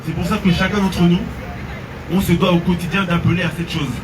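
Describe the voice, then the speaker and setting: Man from France, earnest street preacher, slightly high voice, distant mic with heavy street noise. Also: a little fast, a crowd in the background. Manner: earnest, steady exhortation with Arabic phrases.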